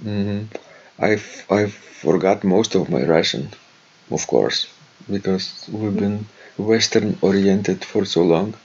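A man's voice: sits low, slow, husky, muffled recording. A man from Lithuania with a Lithuanian accent, co-hosting a podcast in casual, hesitant chat with many fillers and reading out a listener's letter. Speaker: podcast co-host.

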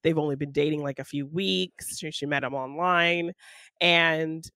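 A woman's voice: sits low, fairly even intonation, unhurried, bright, forceful tone, no room sound, clean studio sound. A woman co-hosting a casual film chat, retelling scenes in a giggly way and acting out lines as she goes. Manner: giggly, acts out characters' lines. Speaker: podcast co-host discussing films.

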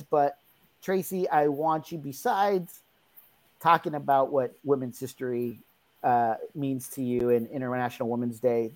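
A woman hosting a panel discussion, warmly repeating her praise of each guest before asking the next one to speak. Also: low-pitched. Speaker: host.

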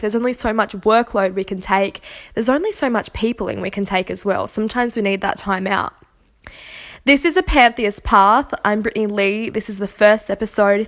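A woman hosting a solo podcast, talking earnestly and reflectively while nursing a cold and sore throat. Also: mid-range voice. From Australia. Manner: earnest, reflective, gently encouraging. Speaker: podcast host. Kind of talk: solo podcast monologue on kindness.

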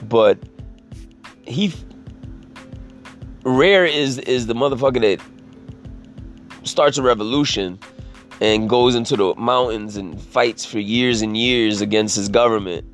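A man with a raspy voice talking in a casual, rambling monologue, swearing freely and leaning on "you know" as he restarts his sentences. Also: mid-range voice, slow pace, long pauses, faint music behind. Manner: profane, filler-heavy, restarts sentences.

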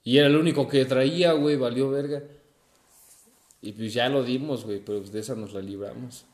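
A man's voice: mid-range voice, steady tone, fairly quick, a little mellow. Young mexican guy hosting a chat over drinks, curses a lot, roomy echo. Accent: Mexican Spanish. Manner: slangy, swears a lot, says güey constantly. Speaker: podcast host. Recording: echoey room.

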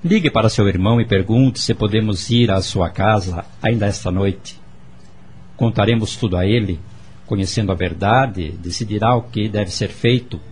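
An actor playing a firm, protective husband in a radio drama, speaking deliberately and solemnly. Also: male voice, lowish voice, a little mellow, a little hiss or hum, lightly acted. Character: Celso, firm, protective husband advising his wife.

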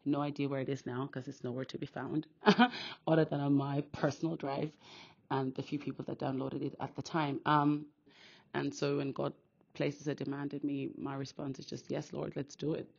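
A woman from Austria hosting a podcast, sharing her faith in an earnest, quick, casual monologue full of restarts. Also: extremely low pitch, flat delivery, gravelly. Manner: earnest, talks fast with many restarts. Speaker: podcast host. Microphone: phone. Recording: dull phone recording.

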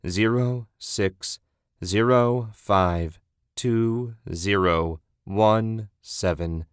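A man voicing a chilling radio voice that drones out numbers in a slow, drawling monotone. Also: fairly low pitch, smooth, dry recording, clean studio sound. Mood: cold, droning. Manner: droning, drawling monotone, digit by digit.

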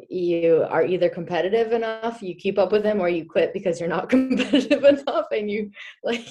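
A young woman with a low voice answers interview questions in a relaxed, chatty way. She laughs often and her talk is full of easygoing fillers. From Czech Republic. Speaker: guest, hockey player. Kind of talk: casual interview.

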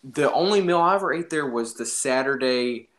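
A man's voice: medium pitch, animated, normal speed, a bit bright. A young man casually telling an anecdote in an informal, jokey, exaggerating way. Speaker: storyteller in a podcast-style phone chat.

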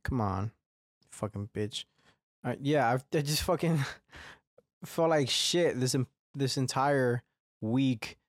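A man's voice: mid-range voice, takes it slowly, long pauses, no echo, clean studio sound. A young man hosting a solo podcast, rambling and swearing a lot, with a slightly congested, phlegmy throat. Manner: rambling, heavy swearing, frequent restarts. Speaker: podcast host. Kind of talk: casual solo podcast monologue.

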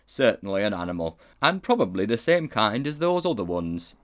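A man doing a gruff, stubborn bulldog. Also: fairly low pitch, very animated, normal speed, a bit bright. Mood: certain. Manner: blunt, indignant.

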